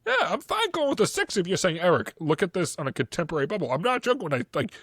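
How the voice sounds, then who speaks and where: A man with a Boston accent co-hosting a casual history podcast, animated and excitable as he argues his points. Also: high voice, racing, no echo, clean studio sound. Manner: animated, excitable, laughs often. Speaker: podcast co-host. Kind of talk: casual chat.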